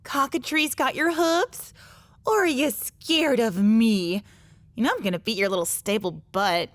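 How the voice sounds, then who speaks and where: A woman's voice: a little high, unhurried, close to an everyday voice. A woman voicing a cocky young pony mare, a bullying rival in an audiobook drama. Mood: mocking, cocky. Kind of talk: audiobook drama character acting.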